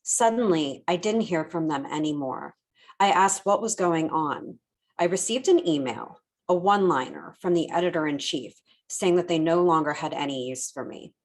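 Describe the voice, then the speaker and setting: A woman's voice: low-pitched, expressive, normal speed. A woman reading a written first-person testimony aloud.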